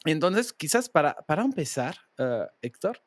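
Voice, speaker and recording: A man's voice: a little high, sing-song, normal speed, dry recording. A man hosting a podcast who asks his guest a question, stumbling and repeating words as he talks. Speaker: podcast host. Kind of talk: interview question.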